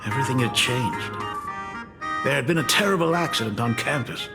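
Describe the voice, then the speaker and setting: A man's voice: mid-range voice, a little fast, natural voice. A man voicing a doting, fretful middle-aged school dean in a video game, with a theatrical, fussing manner. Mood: somber. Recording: long reverb under background music.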